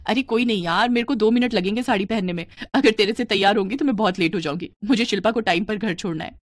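A woman's voice: medium pitch, quick pace, no echo, natural voice. A woman voicing a kind, practical young sister-in-law with a helpful, reassuring manner in a cartoon story. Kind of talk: animated moral story dialogue.

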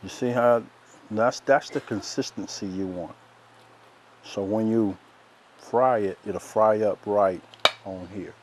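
Soft-spoken man cooking outdoors. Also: lowish voice, slow, pauses often, slight background noise, poor audio. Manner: soft-spoken, folksy and relaxed. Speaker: chef host. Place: outdoors by a lake in woods.

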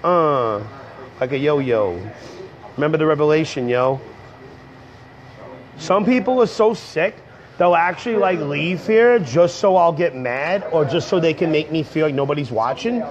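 A young man, hoarse from a cold, rambling casually to viewers on a livestream from a coffee shop. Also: fairly high pitch, a little slow. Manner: rambling, slangy, talks straight to viewers. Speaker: comedian livestreaming. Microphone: earbud headset mic on a phone. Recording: dull lo-fi phone stream.

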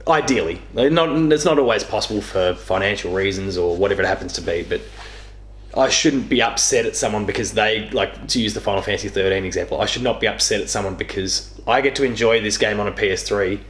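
Young man, lowish voice, casual rambling storyteller, echoey living room. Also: fast, fairly bright tone, a little hiss or hum. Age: young adult. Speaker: interview guest. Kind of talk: casual chat, gaming storytelling. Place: small living room, on a couch.

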